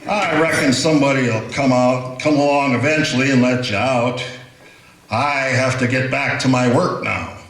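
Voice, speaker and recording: A man voicing a strange, calm prisoner who speaks in cryptic, preachy riddles in a radio play. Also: medium pitch, moderate pace, roomy sound, slight background noise, lightly acted, low-quality recording. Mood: commanding.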